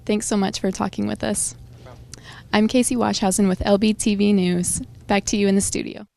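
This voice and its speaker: Young woman doing campus interview, creaky, pretty flat delivery. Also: mid-range voice, normal speed. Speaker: campus news reporter. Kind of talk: interviewing, scripted introduction.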